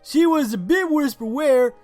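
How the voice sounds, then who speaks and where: A man narrating in a measured, fairy-tale storytelling manner for a comic fan dub. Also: very high-pitched, expressive, a little mellow, faint music behind.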